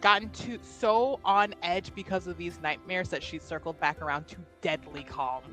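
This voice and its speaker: A woman's voice: lowish voice, normal speed, over loud music. A woman in casual, joking banter as a player in a tabletop roleplaying game.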